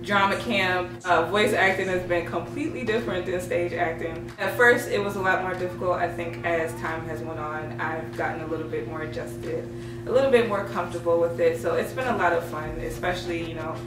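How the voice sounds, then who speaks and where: A woman speaking as a voice actor and writer in a casual, animated group interview. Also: fairly low pitch, fairly quick, recorded from a distance, background noise. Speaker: voice actor and writer of an audio drama. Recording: echoey room off mic, music behind. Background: music.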